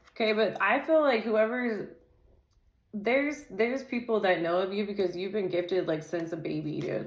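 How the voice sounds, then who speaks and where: A woman doing a casual tarot reading, talking in a loose, rambling way full of "like" and "dude", with a naturally loud voice. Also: mid-range voice, echoey room, rough recording. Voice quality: says she has a naturally loud voice. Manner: chatty, loose, filler-heavy, says "dude" and "like" constantly. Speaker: tarot reader.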